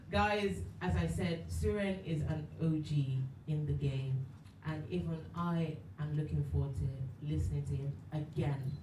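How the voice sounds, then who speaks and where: A woman's voice: low-pitched, slow pace. A woman emceeing a live workshop who introduces the first speaker in an upbeat, casual way. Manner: upbeat, casual, uses slang. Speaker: event emcee. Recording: distant, echoey room with noise.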